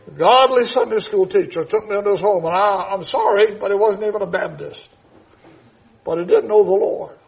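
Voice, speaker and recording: A man's voice: sits high, moderate pace, creaky, husky, low-quality recording. A man in his seventies preaching a sermon in a church, reading scripture and praying with emphatic, swelling delivery. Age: 70s.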